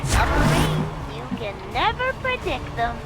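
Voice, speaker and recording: A woman's voice: high-pitched, sing-song, measured pace. An actress playing a prim, critical and reluctant female soldier in short video game lines. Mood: uneasy, snide. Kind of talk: game character barks. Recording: loud music behind game dialogue.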